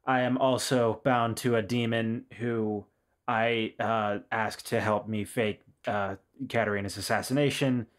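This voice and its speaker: A man voicing a guarded, self-doubting pirate assassin in a measured, understated way for a tabletop game. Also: mid-range voice, close to an everyday voice. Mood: guarded, wry.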